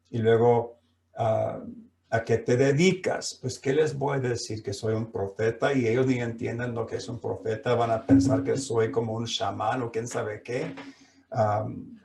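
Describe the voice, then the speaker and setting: Slow, gravelly man preaching in an echoey room. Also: medium pitch, a little mellow. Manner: slow preaching with frequent fillers and restarts. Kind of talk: sermon. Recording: echoey room, mic at a distance.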